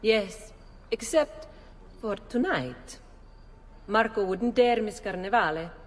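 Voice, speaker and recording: A woman's voice: medium pitch, takes it slowly, in a large hall. A woman playing a poised, worldly, sly Venetian lady in a video game. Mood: conspiratorial. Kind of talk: video game cutscene acting.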